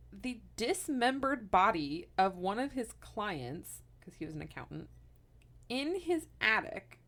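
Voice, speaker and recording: A woman's voice: a little high, fairly bright tone. A woman in her thirties telling a true-crime story to her co-host in casual podcast chat, laughing often and wandering into asides. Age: 30s.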